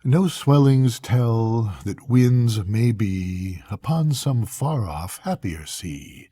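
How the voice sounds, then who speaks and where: An older man reading poetry aloud as a bedtime story, in a solemn, measured, dramatic manner with pauses between phrases. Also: medium pitch, animated, mellow, breathy edge, no room sound. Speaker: grandfather reading bedtime poems to grandchildren.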